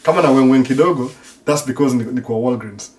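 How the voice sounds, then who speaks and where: A man's voice: mid-range voice, fast talker, darkish tone, distant mic. A middle-aged Kenyan man with an American tinge to his accent, a native Swahili speaker, chatting casually in a rambling, self-repeating way as a podcast host. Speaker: podcast host answering listener questions. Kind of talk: casual chat mixing Swahili and English.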